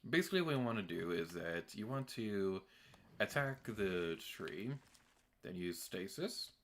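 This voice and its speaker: A young man with a deep voice giving chatty, self-deprecating commentary while streaming a game. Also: lively intonation, a little slow, fairly bright tone. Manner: chatty and self-deprecating, with filler words and laughs. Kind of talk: casual gameplay commentary.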